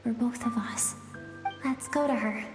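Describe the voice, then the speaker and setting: Woman acting as a gentle, earnest young woman, echoing. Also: a little high, a little slow, close to an everyday voice. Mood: gentle, resolved. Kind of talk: English video game voice acting, story narration and dialogue. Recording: echoey long reverb with music behind.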